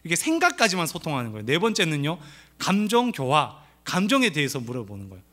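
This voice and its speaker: A middle-aged man from the Gyeongsang region with a light Gyeongsang accent, preaching at a church family seminar in a lively, playful way, acting out quoted lines. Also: a little high, fast. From Gyeongsang region of Korea. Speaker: pastor.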